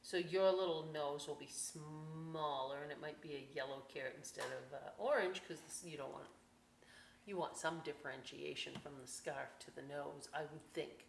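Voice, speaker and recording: A woman's voice: sits low, normal speed, gravelly. A woman hosting a children's library story time and craft demonstration, talking in a folksy, playful way with chatty asides to young viewers. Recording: echoey room, some background noise.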